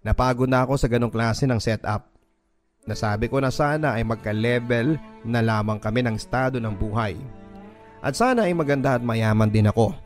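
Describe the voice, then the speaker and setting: A Filipino man hosting a radio drama, reading a listener's first-person story as dramatic, earnest, sentimental narration. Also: mid-range voice. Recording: music bed under the voice. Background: music.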